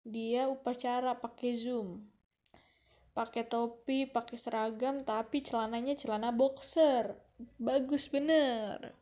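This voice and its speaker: A young woman with a high voice and a Jakarta slang accent chats through her own podcast. She speaks at an unhurried pace, drifting from thought to thought and arguing with herself as she goes. It has the loose, casual feel of a solo show. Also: fairly even intonation, dark tone. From Bogor, Indonesia. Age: young adult. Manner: rambling, chatty, talks to herself. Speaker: podcast host.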